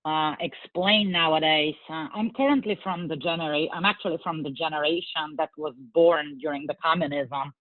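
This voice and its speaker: A woman in her forties from Bulgaria, speaking English with a Bulgarian accent, answering interview questions in an earnest, measured way with frequent fillers. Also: sits low, steady tone, no room sound. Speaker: guest. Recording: tinny phone-like line.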